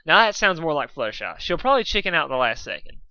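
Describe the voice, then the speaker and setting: A man's voice: a little high, big pitch swings, quick pace, sharp tone, clear, resonant tone, no echo, highs cut off, pitched above the performer's own voice, full character voice. A man voicing a brash, swaggering female cartoon pony with a cocky, wheedling delivery.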